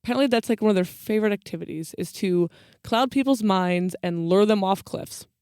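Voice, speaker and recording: A woman's voice: medium pitch, brisk, darkish tone, clear, resonant tone, no echo, studio quality. A woman co-hosting a podcast, telling stories casually with flippant, slangy asides. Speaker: podcast co-host. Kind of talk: casual storytelling chat.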